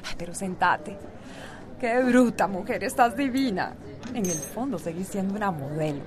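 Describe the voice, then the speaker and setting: An actress with a Costa Rican accent playing a talkative, affectionate friend, effusive and teasing, in a radio soap. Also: female voice, medium pitch, expressive, moderate pace, a crowd in the background, natural voice. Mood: chatty, delighted.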